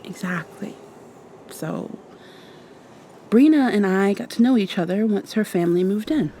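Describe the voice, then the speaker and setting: A woman playing a gentle, affectionate young vampire in an intimate ASMR roleplay, speaking softly with thoughtful pauses. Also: mid-range voice, expressive, a little hiss or hum, close to an everyday voice. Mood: serious, explanatory. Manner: soft, tender, unhurried, thoughtful pauses. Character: gentle, affectionate young female vampire confiding in her girlfriend.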